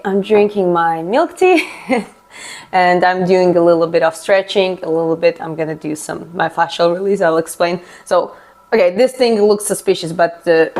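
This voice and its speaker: A woman's voice: lowish voice, darkish tone. Chatty streamer woman, Russian native. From Georgia. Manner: chatty, explaining while stretching, restarts words often. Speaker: live streamer. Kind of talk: casual live-stream chat, answering viewer comments.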